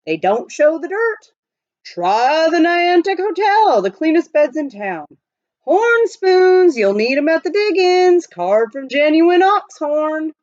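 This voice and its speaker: A woman voicing brash male street hawkers and auctioneers in a storybook, calling out sales pitches. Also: sing-song, slow pace, darkish tone, no room sound, highs cut off, full character voice. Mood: brash, selling.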